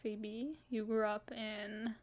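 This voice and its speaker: A woman's voice: medium pitch, little pitch movement, takes it slowly. Giggly young woman rambling between fillers. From Kenya. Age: 20s. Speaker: podcast host. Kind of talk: casual chat.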